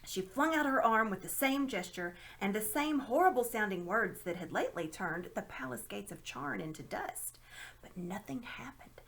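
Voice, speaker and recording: Expressive woman storyteller, very animated. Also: medium pitch, normal speed, vocal fry, poor audio. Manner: dramatic, expressive. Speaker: storybook reader. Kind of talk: expressive read-aloud of a children's novel.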